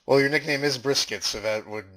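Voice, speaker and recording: A man's voice: medium pitch, brisk, fairly bright tone. A man acting as an interviewer in a comedy skit, asking earnest, formal questions. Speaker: mock interviewer. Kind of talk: improvised mock interview.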